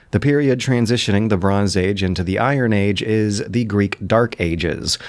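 A man reads scripted commentary in a measured, explanatory way. His voice sits somewhat low. The recording is dry, with very little room sound. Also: no echo. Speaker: commentator on his own audiobook adaptation.

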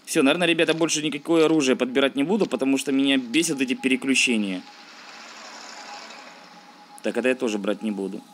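A man's voice: mid-range voice, quick pace, long pauses, fairly bright tone, slight background noise. A man giving casual, slangy let's-play commentary, thinking aloud and grumbling as he plays. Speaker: gaming video host.

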